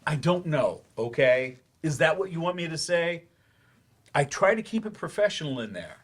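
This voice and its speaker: A man's voice: on the high side, very animated, normal speed. A male actor in a staged play reading, playing a frustrated, defensive therapy patient who talks in a hesitant, grudging way. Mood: aggrieved, defensive. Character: defensive, frustrated man in therapy, once-promising writer turned teacher.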